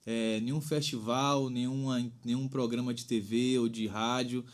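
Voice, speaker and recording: A man's voice: mid-range voice, fairly even intonation, unhurried. Man, reflective and rambling. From Bahia, Brazil. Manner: thoughtful, rambling with frequent "né?". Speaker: singer-songwriter guest. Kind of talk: reflective interview talk.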